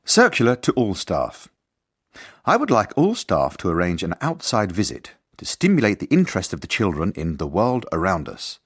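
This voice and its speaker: A man's voice: low voice, wildly swooping pitch, normal speed, a bit bright, slightly rough, full character voice. A man playing a precise, prickly headmaster, narrating and acting in a radio comedy-drama. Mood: formal, dry. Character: earnest, exasperated male headmaster, stiffly formal.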